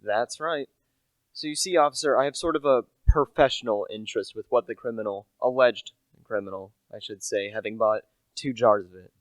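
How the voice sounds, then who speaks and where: A man's voice: medium pitch, moderate pace, no room sound, lightly acted, poor audio. A young man acting a humble, chatty small-time salesman who narrates a radio play in a plain, confiding manner. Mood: polite, ingratiating. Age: young adult. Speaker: narrator and lead character.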